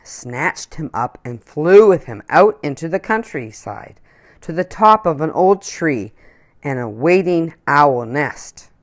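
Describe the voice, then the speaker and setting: A woman reading a children's picture book aloud in an expressive storytelling manner. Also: rumbling low.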